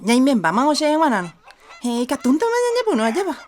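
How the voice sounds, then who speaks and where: An actress playing a brisk village neighbour woman, eager to get working, in a radio soap opera. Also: high-pitched, huge pitch swings, darkish tone. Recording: faint farmyard noise, clucking hens.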